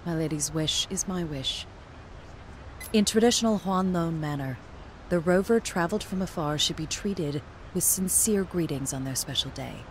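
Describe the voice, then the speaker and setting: A woman's voice: fairly low pitch, moderate pace, slight background noise, close to an everyday voice, low-quality recording. A woman voicing a stoic, devoted young royal guard in a video game, with formal, restrained, solemn delivery. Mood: solemn, reserved. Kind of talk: scripted video game character voice lines.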